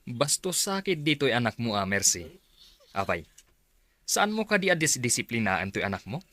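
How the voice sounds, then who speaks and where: A man's voice: medium pitch, big pitch swings, normal speed, sharp tone, no echo. An actor playing a domineering, bullying stepfather figure who barks commands in a radio drama. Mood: scolding. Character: bullying, bossy live-in boyfriend of mother.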